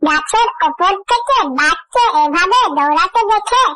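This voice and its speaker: A woman's voice: very high-pitched, expressive, unhurried, noisy background. A woman reading a scripted fairy tale for children as the storyteller.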